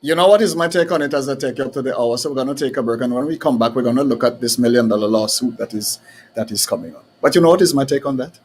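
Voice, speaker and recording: A man's voice: mid-range voice, quick pace, a little hiss or hum. A man hosting a talk show, ranting emphatically and firing off rhetorical questions. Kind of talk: heated call-in style talk.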